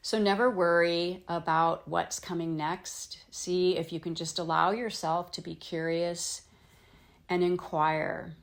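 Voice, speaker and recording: Slow, low-pitched woman guiding meditation. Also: level tone, creaky. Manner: gentle, soothing, unhurried phrasing with pauses. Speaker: yoga nidra teacher guiding a practice. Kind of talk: guided meditation, slow instruction with long pauses.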